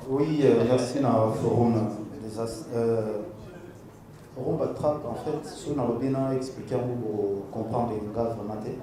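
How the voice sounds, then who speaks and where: A middle-aged man with a Congolese French accent answers questions at a press conference, hesitant and full of fillers. He sounds far from the recorder, in a very echoey hall. A crowd murmurs clearly behind him. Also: mid-range voice, measured pace. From Democratic Republic of the Congo.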